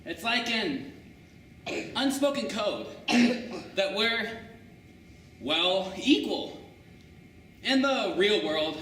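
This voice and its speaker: A man's voice: sits high, bright, forceful tone, a little hiss or hum. A teenage boy in his late teens performing a humorous interpretation piece in a wry storytelling manner. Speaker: student performer. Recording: echoey room, far camera.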